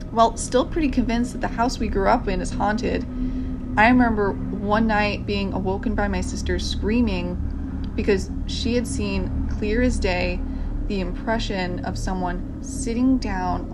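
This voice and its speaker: A woman's voice: mid-range voice, background noise. A woman hosting a show, giving a scripted introduction. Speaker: host.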